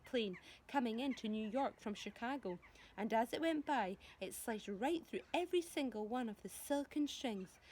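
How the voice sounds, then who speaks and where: A woman with a fairly high voice narrates a children's storybook. She is expressive and animated, shaping the story for young listeners and addressing them directly like a primary school teacher.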